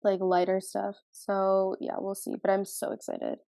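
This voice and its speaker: A woman's voice: mid-range voice, huge pitch swings, unhurried, vocal fry, mellow, no echo, clean studio sound. A woman in her twenties, co-hosting a podcast in casual chat. She sounds congested, has a sore throat and laughs often between phrases. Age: 20s. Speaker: podcast co-host.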